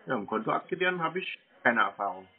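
A man chatting casually and tersely on the phone in a deadpan way, baiting the caller as a prank host. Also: medium pitch, fast, slightly rough. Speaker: prank host answering scam calls. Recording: tinny narrowband phone line.

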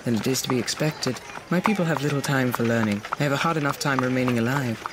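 A woman's voice: very deep voice, fast talker, noisy background. A woman playing a well-spoken young plains warrior with formal, precise speech in a fantasy audio drama. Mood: polite, reserved.

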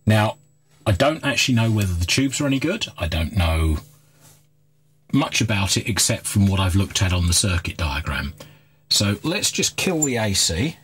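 Deep-voiced middle-aged man. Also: big pitch swings, normal speed, a bit bright. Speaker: host of a radio repair video. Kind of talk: informal hands-on repair commentary. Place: small cluttered workshop, indoors.

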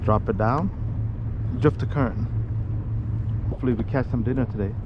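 A man's voice in a mid pitch, speaking in short clipped remarks as he fishes from a kayak. The outdoor action-camera audio carries steady wind and water noise beneath him. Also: normal speed, lo-fi sound. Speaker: fishing vlogger. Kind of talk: casual first-person fishing commentary. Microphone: action camera mounted on kayak.

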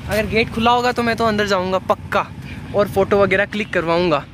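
A man's voice: high-pitched, fairly quick, noisy background. A young man casually narrating a walking travel vlog through city streets and a market, talking to his viewers and often calling them "guys". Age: young adult. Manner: chatty, repeats "guys" and "chalo" often. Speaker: travel vlogger. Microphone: handheld phone camera at arm's length. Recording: outdoor phone audio with music under it.

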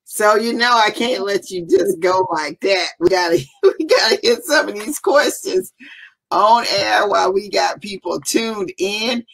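A woman's voice: mid-range voice, lively intonation, measured pace, vocal fry, fairly bright tone, slightly rough, rough recording. A woman hosting a live online summit over a video call, chatty and enthusiastic, calling viewers y'all. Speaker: summit host. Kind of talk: live interview. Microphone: video call webcam.

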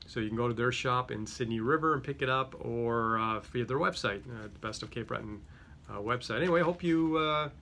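Bright-voiced man with a slight Greek accent. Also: medium pitch, brisk, slight background noise. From Greek-speaking home. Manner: chatty, many fillers and restarts. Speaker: company head presenting a product. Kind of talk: casual product unboxing. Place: small office with a yellow wall.

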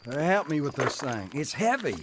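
A man's voice: on the high side, very animated, normal speed, background noise. A man playing a cocky young criminal in a radio drama, speaking in a brash, slangy way. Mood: grumbling. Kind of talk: old-time radio drama acting.

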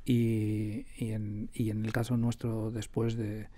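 A man's voice: lowish voice, completely flat delivery, slow pace, warm tone, a little hiss or hum. A man with a Castilian Spanish accent, a radio drama creator answering conversationally as a studio interview guest.

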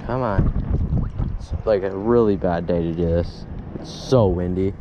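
A man's voice: low voice, unhurried, highs cut off. A young man chatting casually as he fishes, rambling and correcting himself as he talks into an action camera outdoors by a windy pond. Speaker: fishing vlogger. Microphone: action camera, head-mounted and handheld.

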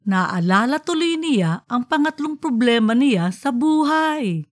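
A woman narrating an audiobook in an expressive storytelling style. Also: sits high, unhurried, no echo, clean studio sound.

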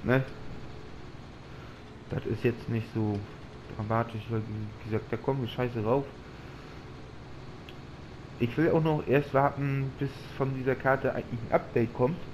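A man with a slight cold chats his way through a farming game, thinking aloud in a loose, rambling manner. His voice sits at a middling pitch. A steady hum of noise runs under the recording. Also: unhurried, long pauses. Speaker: gaming channel host. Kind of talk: casual let's-play commentary. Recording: audible background noise.

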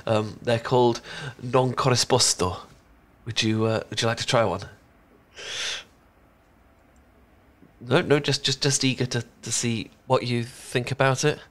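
A man with a British accent playing a shy, smitten young chocolatier in an ASMR roleplay, speaking in nervous, halting stammers. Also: mid-range voice, fairly even intonation, natural voice. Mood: shy, eager. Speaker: voice actor in an audio roleplay. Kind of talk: ASMR roleplay, one-sided intimate conversation.

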